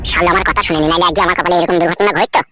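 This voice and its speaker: A man's voice: high voice, steady tone, very fast, noisy background, natural voice. A man voicing a hot-headed, crude young husband in a comic cartoon, argumentative but protective of his partner. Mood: irritated, defiant.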